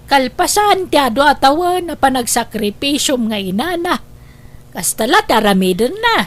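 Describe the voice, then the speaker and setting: A woman with an Ilocano accent acting a gentle, devoted, sorrowful mother in a radio drama, with emotive delivery. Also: high-pitched, sing-song, normal speed. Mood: upset.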